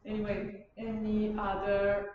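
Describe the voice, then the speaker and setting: A woman's voice: mid-range voice, monotone. Distant, echoey woman lecturer from Bulgaria. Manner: halting, rephrasing as she goes, digressive. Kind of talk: informal lecture and discussion of questions. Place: university lecture room. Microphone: far camera. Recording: distant mic, muffled, echoey room, loud noise.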